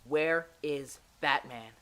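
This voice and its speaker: Guy playing a captive boy sidekick in audio drama, bit higher voice, earnest, quiet, pleading but defiant. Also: slow, clean tone.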